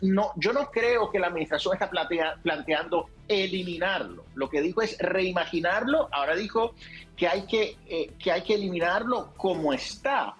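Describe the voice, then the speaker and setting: Man, Puerto Rican accent, somewhat high voice, panel analyst, opinionated political commentary. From Puerto Rico.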